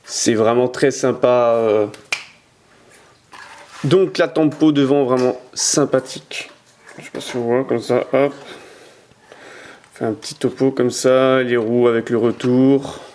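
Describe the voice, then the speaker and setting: A man's voice: medium pitch, fairly even intonation, measured pace, long pauses, darkish tone, slight background noise. Hesitant man showing off toy cars. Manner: hesitant, many "euh" fillers and repeated words; handles and turns the cars toward the camera as he talks. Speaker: toy car collector reviewing his collection. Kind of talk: casual unboxing commentary. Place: at home. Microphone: camera mic.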